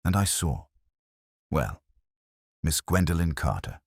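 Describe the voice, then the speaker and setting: A man voicing a prim, gossipy woman from an English village in a story, fluttery, breathy and self-important. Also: extremely low pitch, very animated, takes it slowly, no room sound, clean studio sound, full character voice.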